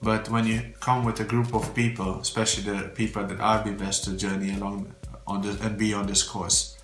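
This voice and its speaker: A man's voice: lowish voice, flat delivery, fairly quick. A man from Romania, giving a heartfelt, faith-filled testimonial in slightly phrasing. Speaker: church member giving a testimonial. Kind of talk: earnest unscripted testimonial. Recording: roomy echo with faint background music.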